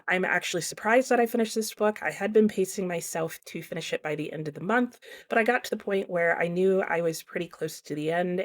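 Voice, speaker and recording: A woman talking casually and explaining her thoughts, as a book reviewer speaking in a vlog. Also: on the low side. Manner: conversational, explanatory.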